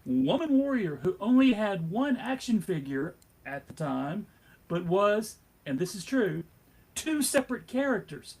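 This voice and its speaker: Husky, animated, wry comic narrator. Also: medium pitch. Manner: wry, theatrical. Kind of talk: scripted comedic narration.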